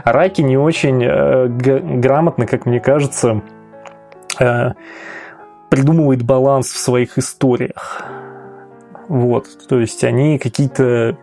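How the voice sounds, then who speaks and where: A man's voice: mid-range voice, steady tone, a little slow, creaky, long pauses, dark tone, soft background music. A man co-hosting a casual podcast, chatting about anime with wry, sweary asides and lots of fillers. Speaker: podcast co-host.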